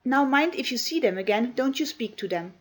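A woman voicing a stern, sharp-tongued woman servant who scolds children. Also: a little high, lively intonation, a little fast, husky, pitched above the performer's own voice. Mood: scolding.

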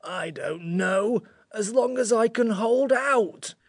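A woman voicing a grumpy, weary, self-pitying furry magical creature in a storybook, with slow, complaining delivery. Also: a little high, breathy edge, dry recording, studio quality, pitched above the performer's own voice, heavily acted. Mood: weary, reluctant.

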